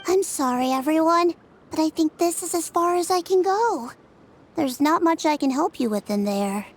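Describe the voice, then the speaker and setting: A kind young helper in a video game. The voice is high and gentle, with a hesitant, apologetic way of speaking. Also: female voice, expressive, normal speed. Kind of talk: scripted video game dialogue.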